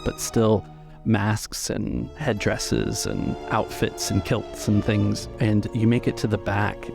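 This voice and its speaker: A man acting as game master, improvising descriptions for a tabletop game in a deliberate, halting way. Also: lowish voice, vocal fry.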